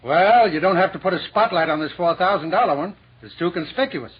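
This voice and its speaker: Gruff, husky old store boss. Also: male voice, sits high, animated, a little fast. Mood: brisk. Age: older. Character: lonely, money-minded old store owner, gruff but softening. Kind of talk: old-time radio drama acting. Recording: dull vintage 1940s radio recording.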